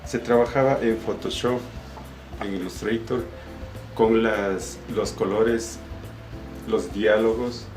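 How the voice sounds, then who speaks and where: An Ecuadorian man giving an informal, somewhat halting explanation of his work as an illustrator, often repeating words. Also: fairly low pitch, fairly even intonation. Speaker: comic illustrator. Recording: roomy echo with music behind. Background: background music.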